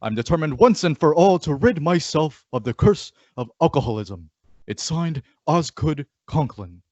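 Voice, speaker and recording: Stiff, indignant businessman for radio comedy, male actor, very animated intonation, lo-fi dry sound. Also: fairly high pitch, normal speed. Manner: stiff, formal. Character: formal, indignant businessman father. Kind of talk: old-time radio comedy acting. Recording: dull lo-fi radio drama audio.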